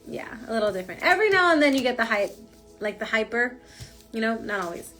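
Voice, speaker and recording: A woman's voice: on the high side, sing-song, fast, fairly bright tone, faint music behind. A woman giving a casual, rambling tarot reading with lots of fillers, her voice stuffy from a cold. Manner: chatty, rambling, frequent fillers. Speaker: tarot reader.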